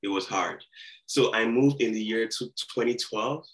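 A man, telling stories as a podcast guest in a relaxed, slangy way. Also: lowish voice, normal speed, rough recording. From Nigeria. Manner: laid-back, slangy storytelling. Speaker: guest rapper. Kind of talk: casual podcast interview.

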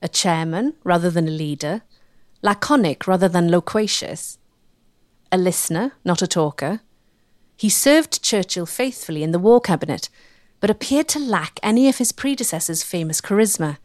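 A woman's voice: on the low side, expressive, moderate pace, dry recording. Dry, close studio woman narrator. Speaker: documentary narrator. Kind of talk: scripted narration.

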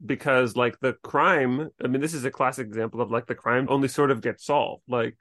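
A man's medium-pitched voice in a dry, echo-free recording. He speaks as a podcast co-host, explaining and analysing in a casual conversational way. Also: fairly even intonation, fairly quick, fairly bright tone. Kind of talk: casual literary discussion.

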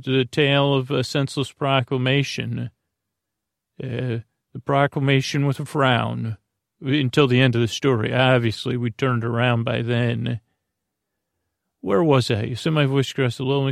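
Male podcast host for a sleep show: gravelly, croaky, wandering and halting delivery, close dry sound. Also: mid-range voice, no echo. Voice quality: creaky, croaky. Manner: meandering, halting, repeats himself. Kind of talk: rambling bedtime sleep-podcast monologue.

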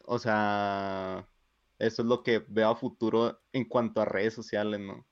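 A man's voice: fairly low pitch, level tone, smooth, no echo, muffled recording. A young man in his twenties with a Mexican accent, speaking hesitantly in a casual chat. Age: 20s. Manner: hesitant, fills pauses with "este".

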